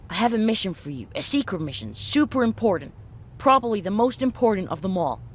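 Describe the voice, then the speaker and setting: An actor playing an eager, secretive young child with a husky voice in a video game. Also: mid-range voice, very animated, fairly quick. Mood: eager, pleading. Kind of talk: video game dialogue acting.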